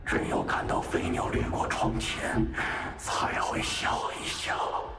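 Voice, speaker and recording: An actor voicing a menacing old ghostly head of a noble house, breathy and spectral, in an anime game. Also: slow, heavy background noise. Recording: echoing, under loud game music.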